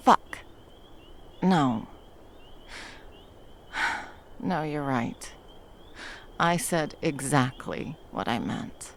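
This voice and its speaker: A woman's voice: low voice, gravelly, a little hiss or hum, exaggerated voice. A woman voicing a centuries-old female monster hunter in an ASMR roleplay. She talks bluntly and swears a lot, then drops into tender murmurs. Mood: remorseful.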